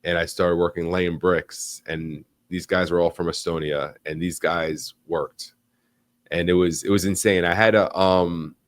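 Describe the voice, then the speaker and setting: A man's voice: sits low, measured pace, no room sound. A young American man hosting a live online interview, talking casually with lots of fillers and restarts. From United States. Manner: animated, rambling, frequent fillers and restarts. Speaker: livestream host.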